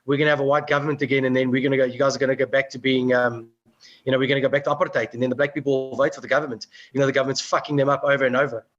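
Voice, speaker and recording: A man guest in a casual group chat, talking fast and chiming in with agreement. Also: mid-range voice, flat delivery, fairly bright tone, dull audio. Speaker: musician guest.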